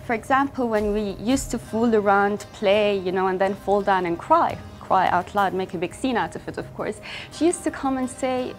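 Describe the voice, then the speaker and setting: A woman's voice: mid-range voice, normal speed. Earnest young woman, faint music behind. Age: young adult. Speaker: fashion brand founder. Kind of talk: interview monologue.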